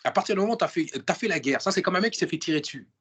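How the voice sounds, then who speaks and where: A man's voice: sits high, rapid-fire, husky. A man as an interview guest, chatting casually and emphatically in slang, with lots of restarts and repeated words. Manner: emphatic and animated, full of restarts and repetition.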